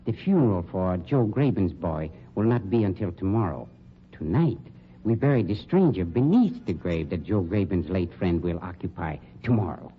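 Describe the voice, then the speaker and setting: Casting: polished, sarcastic undertaker boss, low and lively voice, old radio crime drama. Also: male voice. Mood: cool scheming. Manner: polished, sarcastic, condescending, deliberate diction. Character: suave, sardonic, polished male funeral home director, shady boss. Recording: dull, noisy old radio recording.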